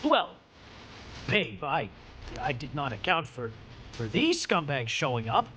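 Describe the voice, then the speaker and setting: An actor playing a brash, self-important, scheming senator in a video game, excitable and slangy. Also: male voice, fairly high pitch, a little slow, slight background noise, heavily acted. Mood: excited, urging. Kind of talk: video game cutscene acting.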